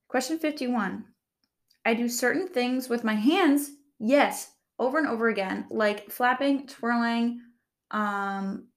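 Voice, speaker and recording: A young woman talks through a quiz in a soft, calm voice, reading each question and then rambling about her answer. Her speech is loose and casual, full of fillers, and she jokes at her own expense. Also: mid-range voice. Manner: chatty, rambling, filler-heavy, self-deprecating humor. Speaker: vlogger.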